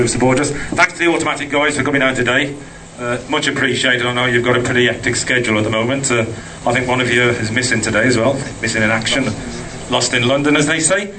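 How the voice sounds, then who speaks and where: A man's voice: medium pitch, sharp tone, distant mic, a little hiss or hum, poor audio. A middle-aged man giving a friendly, jokey thank-you speech at an event. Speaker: project organiser.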